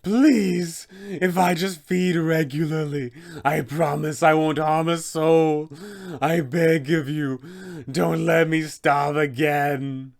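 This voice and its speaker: A man voicing a starved, anguished young male vampire spawn, pleading in a drawn-out, dramatic fantasy roleplay delivery. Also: a little high, steady tone, gravelly, voice pushed up. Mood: begging.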